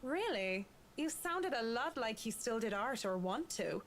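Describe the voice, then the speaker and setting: A woman's voice: a little high, big pitch swings, measured pace, slight background noise. A woman voicing a blunt, self-assured interior designer in a visual novel, with a cool, dry manner. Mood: dry, needling.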